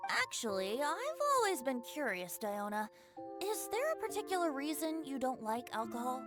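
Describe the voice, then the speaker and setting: High-voiced, playful young tippler. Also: female voice, sing-song, moderate pace. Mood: curious. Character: playful young female drinker, breezy, teasing, fond of wine. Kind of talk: video game cutscene voice acting. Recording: game music behind the voice.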